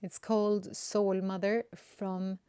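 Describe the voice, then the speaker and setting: Swedish-accented woman, spiritual coach; slow, warm, gentle and searching, dry close sound. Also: medium pitch, level tone, clear, resonant tone, no echo. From Sweden. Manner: gentle, searching, with restarts and soft fillers. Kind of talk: unscripted inspirational monologue.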